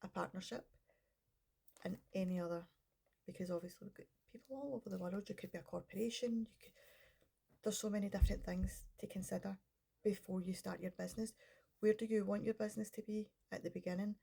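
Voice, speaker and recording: A woman's voice: medium pitch, fairly even intonation, a little fast, creaky, mellow, breathy edge. A middle-aged woman with a cold, chatting casually to camera as a business coach, rambling and interrupting herself. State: congested with a cold. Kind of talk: casual vlog talk to camera.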